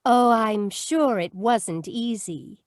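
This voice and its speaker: Woman to play a flirty, helpless radio ingenue, high and unhurried, coy with every line. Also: no echo, studio quality. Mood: coy, flirtatious. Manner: coy, drawn-out. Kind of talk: old-time radio detective drama acting. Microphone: video call.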